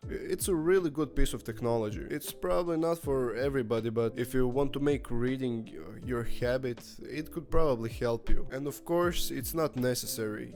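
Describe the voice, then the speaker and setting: A man talks straight to the camera in a relaxed vlog style, candid and joking, with the odd swear word. His voice sits at an ordinary middle pitch. Soft music plays faintly underneath him. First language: Croatian. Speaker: book vlogger. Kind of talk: casual vlog monologue.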